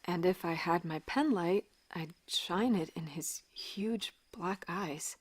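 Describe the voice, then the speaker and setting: Casting: soft-spoken woman doctor in audio drama, lowish register, gentle, questioning, naturalistic. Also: slow pace, slightly rough. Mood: careful, probing. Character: gentle, probing female children's doctor.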